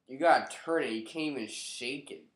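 A man's voice: mid-range voice, moderate pace, roomy sound, poor audio. A young man with a stuffy, nasal voice from a cold, talking loosely and with some swearing to a webcam in his living room. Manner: loose, profane, offhand. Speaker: vlogger. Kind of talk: casual commentary to camera.

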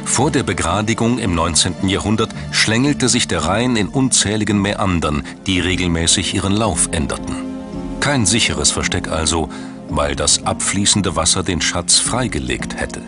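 A male narrator. Clear music plays underneath his voice. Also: deep voice, moderate pace. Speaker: documentary narrator. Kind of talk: scripted documentary narration. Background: background music.

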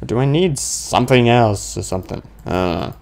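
A man's voice: medium pitch, animated, normal speed, creaky, a little mellow. A man giving casual, goofy let's play commentary on a horror game, talking to himself as he plays.

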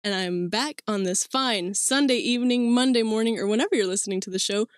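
A woman's voice: fairly high pitch, fairly quick, no room sound, studio quality. A woman in her twenties from Mexico hosting her own podcast, talking casually and rambling with fillers and little laughs. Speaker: podcast host. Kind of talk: casual solo podcast talk. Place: small cluttered room indoors.

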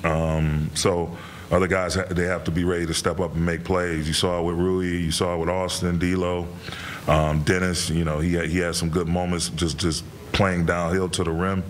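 A middle-aged man, a head coach, answering reporters' questions after a game in a measured way, with many fillers and repeated words. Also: low-pitched, level tone, a little hiss or hum. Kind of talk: postgame press conference answers. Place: press room in front of a sponsor backdrop.

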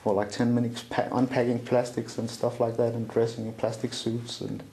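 Gravelly Danish-accented man, halting. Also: mid-range voice, little pitch movement, mellow, reverberant, a little hiss or hum. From Denmark. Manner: halting, many fillers and restarts. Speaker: interview guest. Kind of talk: recounting a personal story in an interview. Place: small room indoors, seated at a table.

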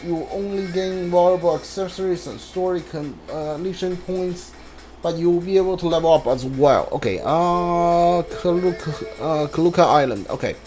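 A video game player giving casual live playthrough commentary, thinking aloud in a halting way with mumbled asides. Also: medium pitch, darkish tone, a little hiss or hum. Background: video game music.

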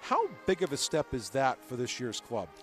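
A man's voice: mid-range voice, huge pitch swings, moderate pace, faint music behind. A middle-aged American man hosting a post-game sports interview on a headset mic. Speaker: sports broadcast host. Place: courtside in an indoor gym.

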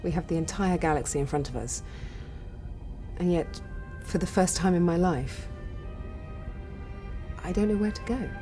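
An actress playing a steady, wistful young starship pilot in a video game, composed and serious. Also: female voice, lowish voice, moderate pace, pauses often, lightly acted. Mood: pensive. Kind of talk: cinematic video game acting. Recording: loud music behind.

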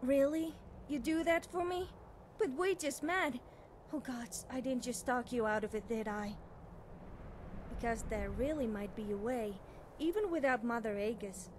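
A woman's voice: a little high, unhurried, slight background noise, close to an everyday voice. A young woman playing an eager, nervous, cheerfully amoral witch student in video game dialogue, chatty and girlish, often hesitating and backtracking. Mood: hopeful, sheepish.

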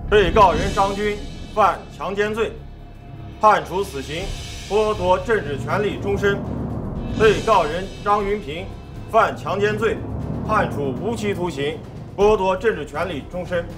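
A stern male presiding judge in a film drama. He speaks with formal, deliberate authority in a slightly high voice, with faint music in the background. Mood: stern, solemn. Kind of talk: formal courtroom procedure in a film.

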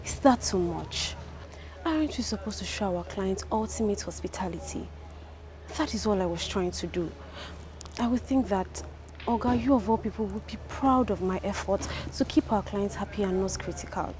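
A woman's voice: medium pitch, normal speed, close to an everyday voice. A young woman with a Nigerian accent, acting a clinic receptionist in a film drama, talking defensively and pleading her case. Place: outdoors on a clinic balcony. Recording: outdoor ambience with clear noise.

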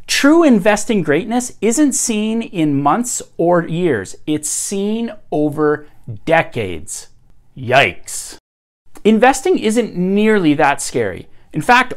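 A man in his thirties hosting a scripted finance explainer, upbeat and emphatic, filmed at home in front of bookshelves. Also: sits high. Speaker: host.

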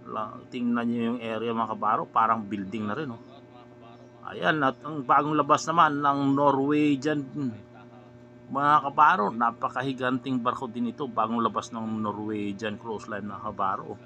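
A man's voice: mid-range voice, flat delivery, normal speed, sharp tone, a little hiss or hum. A man in his forties, a seafarer vlogging casually, recorded on a phone camera held at arm's length, who keeps addressing viewers as fellow seafarers and points at the sights. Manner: keeps calling viewers "mga kabaro", points things out with "ayan, oh". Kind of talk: casual vlog narration over ship footage.

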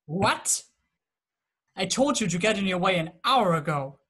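A man's voice: high voice, animated, unhurried, bright, forceful tone, breathy edge, heavily acted. A young man playing an impatient, old-fashioned gangster boss in a crime drama, slangy and grumbling into a laptop. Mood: impatient exasperated.

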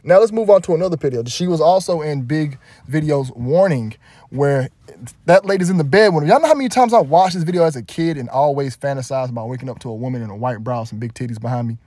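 Man, reaction commentary, indignant, rambling, slightly high voice, dry close mic. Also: fast talker, dark tone, dry recording. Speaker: video commentator.

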